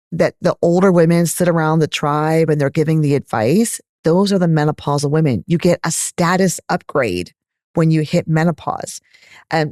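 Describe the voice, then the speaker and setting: A middle-aged woman with a Texas accent, a gynecologist answering interview questions in an animated, candid, emphatic way. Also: low voice, no room sound, clean studio sound. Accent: Texan. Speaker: gynecologist guest.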